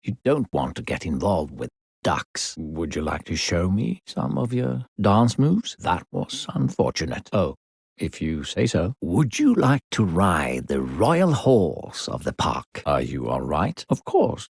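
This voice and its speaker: Creepy smooth-talking invisible spirit guide for a kids adventure game, low n husky, takes his time, fake polite, really swoopy delivery. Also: very animated, no echo, studio quality, full character voice. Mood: coaxing, patronizing. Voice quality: gravelly, husky. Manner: coaxing, falsely polite, condescending, drawn-out phrasing. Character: unseen smooth-talking sinister narrator spirit guiding a child. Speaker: game narrator and guide character. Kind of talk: theatrical video game character acting.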